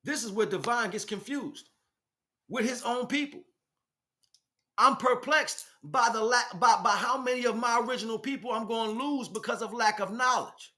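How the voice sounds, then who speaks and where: A man's voice: very high-pitched, normal speed, pushed and bright. A man giving an impassioned, informal spiritual lecture in a blunt, emphatic street vernacular, often asking rhetorical questions. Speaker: spiritual teacher, speaker.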